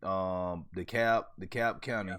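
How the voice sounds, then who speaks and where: A man's voice: deep voice, fairly even intonation, a little slow, a bit bright, clear, resonant tone, no room sound, clean studio sound. A man from Atlanta with a Southern American accent, co-hosting in casual chat, opinionated, emphatic and given to repeating phrases. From Atlanta, United States. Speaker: podcast co-host.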